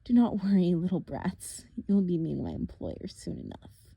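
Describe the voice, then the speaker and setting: A woman's voice: fairly low pitch, big pitch swings, creaky, dark tone, husky, no echo, heavily acted. A woman playing a gloating monster villain. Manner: slow, menacing, with cold laughs. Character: gloating monstrous male villain doctor, cruel and smug. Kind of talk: audiobook character voice.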